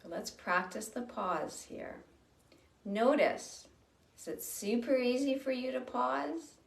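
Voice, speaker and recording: A woman leading a guided breathing meditation, speaking slowly and gently with long pauses. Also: fairly high pitch, lively intonation, creaky, distant mic. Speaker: meditation teacher. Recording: roomy sound from off the mic.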